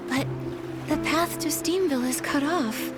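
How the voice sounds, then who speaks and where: A gentle young game heroine, with a high voice and a sincere, polite manner. She comes across as kind and determined, and loud music plays beneath her. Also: unhurried. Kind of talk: video game character acting.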